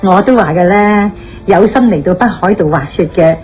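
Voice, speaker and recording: A woman's voice: mid-range voice, normal speed, a little mellow, lightly acted. Radio drama role: woman playing a cheerful, welcoming innkeeper with a Hong Kong Cantonese accent, mid-range voice, muffled sound. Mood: cheerful, friendly. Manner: friendly, chatty, polite. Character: hospitable, cheerful Japanese inn hostess. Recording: dull radio recording with faint music.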